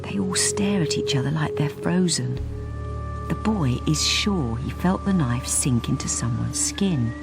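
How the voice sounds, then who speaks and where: An actress playing a lonely, downtrodden wife in a radio drama, with a bleak, understated delivery. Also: female voice, low voice, animated, unhurried, vocal fry, over loud music, heavily acted. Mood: bleak, haunted. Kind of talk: first-person radio drama narration.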